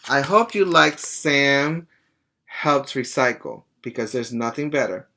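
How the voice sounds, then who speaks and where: A middle-aged man teaching preschoolers, reading aloud and explaining things in a deliberate, didactic way. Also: medium pitch, animated, creaky, bright, forceful tone, a little hiss or hum. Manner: deliberate, didactic, stopping to explain and check understanding. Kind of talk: read-aloud to young children with plain explanations. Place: small indoor room at home.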